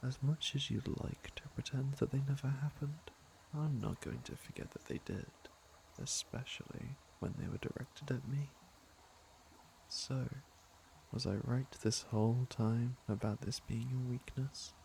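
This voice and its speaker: A man's voice: medium pitch, steady tone, unhurried, darkish tone, slight background noise, poor audio. A man playing a teasing, flirtatious fantasy villain with a caring side, in an intimate ASMR roleplay. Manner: smooth-talking, teasing, intimate. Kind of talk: ASMR roleplay acting, intimate second-person address.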